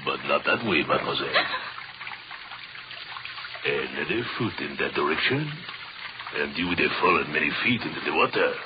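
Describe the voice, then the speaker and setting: Very deep, sinister old Frenchman. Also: lively intonation. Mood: calm, courteous. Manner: unhurried, politely menacing. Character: sinister, courteous old Frenchman dwelling in Paris sewers. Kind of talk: 1940s radio drama acting. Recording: muffled, noisy old radio recording.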